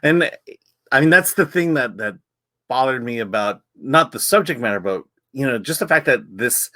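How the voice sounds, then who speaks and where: A man's voice: medium pitch, expressive, normal speed, bright, forceful tone, dry recording, clean studio sound. A man, editor of a film site, hosting a casual review chat with many ums and repeated words. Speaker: film magazine editor and host.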